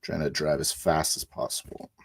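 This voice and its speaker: A man playing a tabletop roleplaying game, describing his character's actions casually with pauses. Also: very deep voice, little pitch movement, gravelly. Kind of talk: improvised roleplay narration.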